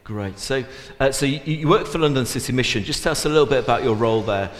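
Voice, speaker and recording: A man's voice: medium pitch, quick pace. A man leading a church service, interviewing a guest in a hesitant way full of ums and restarts. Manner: hesitant, many fillers and restarts. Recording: echoey church hall, long reverb.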